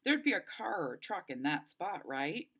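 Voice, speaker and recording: A woman's voice: lowish voice, very animated, normal speed, fairly bright tone, husky, exaggerated voice. A woman reading a storybook as a cautious, practical teenage boy, earnest and reassuring.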